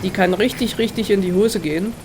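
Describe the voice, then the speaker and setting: A man's voice: high-pitched, brisk, background noise. A man with a slightly congested, nasal voice from a cold, giving casual, hesitant Let's Play commentary as he thinks aloud.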